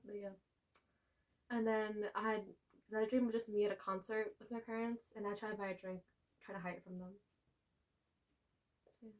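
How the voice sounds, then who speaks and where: A woman's voice: medium pitch, moderate pace, long pauses, low-quality recording. Young woman chatting casually on a podcast. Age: young adult. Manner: animated storytelling with frequent laughs. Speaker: podcast co-host. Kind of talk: casual chat.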